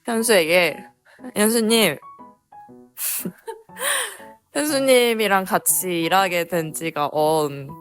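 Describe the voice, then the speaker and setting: A young woman speaking lower than usual with a hoarse, sore throat. She is the manager of a youth center and chats in a relaxed, laughing way among her coworkers. Soft music plays faintly behind her. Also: takes it slowly, long pauses. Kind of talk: casual interview among coworkers.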